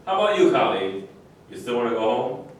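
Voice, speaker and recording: A man's voice: mid-range voice, big pitch swings, fast talker. A man acting in a staged reading, reading play dialogue aloud. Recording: echoey room, distant mic, faint noise.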